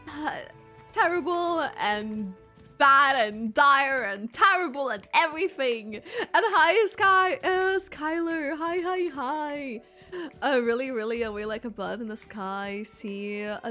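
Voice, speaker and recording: A woman reacts casually to a game on her livestream. Her voice is bright and fairly high, and she talks slowly in a chatty, expressive, halting way. Game music plays underneath.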